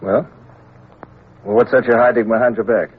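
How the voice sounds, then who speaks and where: A man's voice: medium pitch, steady tone, normal speed, slight background noise, lightly acted. An actor playing a proud, brusque naval captain who narrates his own story in a 1950s radio drama. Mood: impatient. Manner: clipped, brusque commands. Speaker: narrator and lead. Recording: tinny old radio broadcast, faint music.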